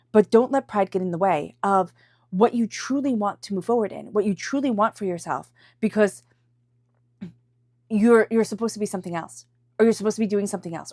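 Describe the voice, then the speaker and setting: A woman's voice: mid-range voice, darkish tone, no room sound, clean studio sound. A woman with a stuffy, congested voice from a cold, giving an intuitive tarot reading to viewers and repeating phrases for emphasis. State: has a head cold. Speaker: tarot reader.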